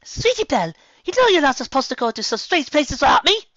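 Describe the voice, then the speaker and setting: A man's voice: very high voice, big pitch swings, fast talker, bright, forceful tone, no room sound, muffled recording, pitched above the performer's own voice, full character voice. A man voicing a prim, fussy female pony, a protective older sister, in a fan fiction reading. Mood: scolding.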